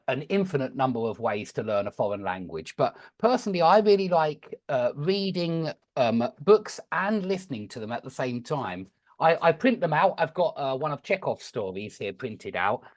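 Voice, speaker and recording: A man teaching an online English lesson, explaining and gently correcting his student's mistakes. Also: mid-range voice. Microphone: video call.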